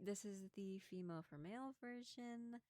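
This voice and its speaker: A woman voice actor chatting informally and quietly to her listeners, in a casual sign-off style. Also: mid-range voice, moderate pace, no echo. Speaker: voice actor and channel creator. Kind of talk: casual outro chat.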